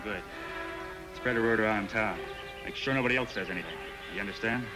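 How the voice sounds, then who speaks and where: Casting: male actor as frontier lawman, American, plain-spoken, fast delivery, lowish voice, vintage Western. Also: music in the background, lightly acted. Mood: firm. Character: steady, protective male deputy in the Old West. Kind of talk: 1950s TV Western acting.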